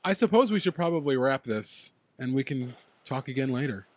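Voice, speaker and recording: A young man co-hosting a casual fan podcast, chatting excitedly and laughing often. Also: mid-range voice, brisk. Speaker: podcast co-host. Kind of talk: casual chat between fans.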